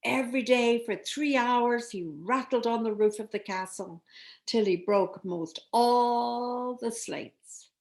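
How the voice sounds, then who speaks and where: A woman storyteller reading a children's story aloud, expressively and with dramatic emphasis. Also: fairly high pitch.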